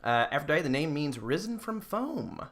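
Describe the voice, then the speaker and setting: A man's voice: on the high side, very animated, normal speed, vocal fry, background noise. A man hosting a podcast, casually retelling myths in a joking, irreverent and slangy way. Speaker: podcast host.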